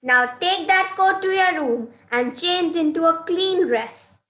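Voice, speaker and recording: A woman's voice: extremely high pitch, animated, a little slow, pushed and bright, full character voice. A woman playing a strict mother who instructs her daughter firmly, in a classroom reader's theater play. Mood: advising. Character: strict, advising mother of a talkative young girl. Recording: roomy, echoing room sound.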